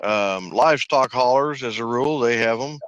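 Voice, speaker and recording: A man who drives trucks, chatting on a live panel in a relaxed, matter-of-fact way. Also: medium pitch, normal speed, clear, resonant tone, no room sound, poor audio. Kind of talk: casual live panel chat.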